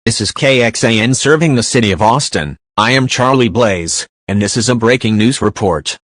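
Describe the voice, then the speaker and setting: A man's voice: mid-range voice, normal speed, a bit bright, no room sound, clean studio sound, faint music behind, lightly acted. A man playing a serious local TV news reporter, reading a scripted breaking report in a formal manner.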